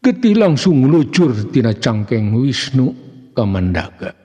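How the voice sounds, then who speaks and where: A man with a Sundanese accent narrating a folk tale in a theatrical, expressive way, with a husky voice. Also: mid-range voice, unhurried, highs cut off. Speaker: storyteller. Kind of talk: dramatic scripted narration of a folk mystery tale. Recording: long hall-like reverb.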